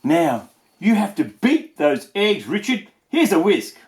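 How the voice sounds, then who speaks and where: A man's voice: high voice, sing-song, slow, a little mellow, pitched above the performer's own voice, full character voice. A man voicing a cheerful, encouraging storybook dad, coaxing and emphatic as he teaches. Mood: upbeat.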